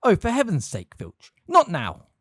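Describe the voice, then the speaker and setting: A reader voicing a stern older woman who gives sharp, scolding commands. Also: mid-range voice, sing-song, fast talker, no echo, clean studio sound, voice pushed up, close to an everyday voice. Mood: commanding.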